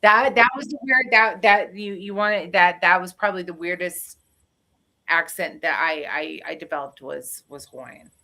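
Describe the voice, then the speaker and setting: A woman chats on a casual live show, her voice bright and forward while sitting a touch low in pitch. She talks in loops, restarting phrases as she goes. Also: normal speed. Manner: chatty, restarts often, laughs between lines. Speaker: co-host. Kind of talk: casual chat.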